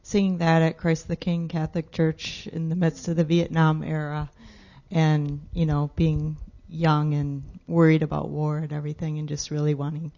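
An older woman sharing a memory with a congregation in a wistful, soft-spoken way. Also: low voice, level tone, moderate pace, creaky. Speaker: congregation member. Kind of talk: casual sharing. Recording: dull lo-fi room recording.

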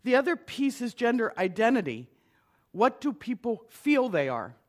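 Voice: mid-range voice, big pitch swings, moderate pace. A middle-aged minister giving a conversational lecture to an audience, with wry asides.

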